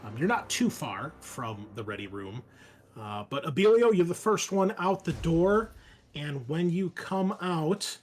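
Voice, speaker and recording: Male game master, horror tabletop narration, halting delivery, music underneath. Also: a little high, lively intonation. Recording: music behind the voice.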